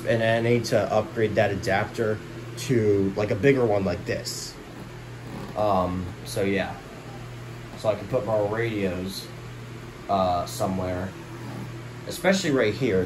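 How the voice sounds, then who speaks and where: A man narrating a casual, unscripted show-and-tell in a rambling way, often repeating himself. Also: on the low side. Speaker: hobbyist vlogger showing a radio collection. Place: small indoor room. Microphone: handheld camera held by the speaker. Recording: steady noticeable hum of room noise.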